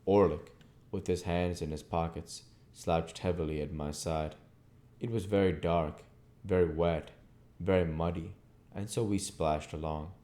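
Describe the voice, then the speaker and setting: A man with a very low, dark and mellow voice reads as an audiobook narrator. His delivery is measured and literary, with a soft-edged tone. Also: fairly even intonation. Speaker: audiobook reader, channel host. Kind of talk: scripted narration of a classic novel.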